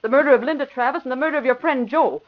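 A woman's voice: sits high, rapid-fire, close to an everyday voice. An actress playing a plucky, defiant young woman held captive in an old-time radio drama, indignant and emphatic. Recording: muffled vintage radio recording.

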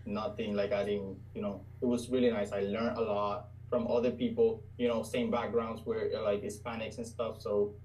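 A young man speaks as a podcast guest. His voice is mellow and dark and sounds a little far from the mic. He often pauses and hunts for the right words. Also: lowish voice, little pitch movement, a little hiss or hum. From Puerto Rico. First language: Spanish. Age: 20s. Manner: hesitant, searching for words. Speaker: guest trader. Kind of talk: casual interview.

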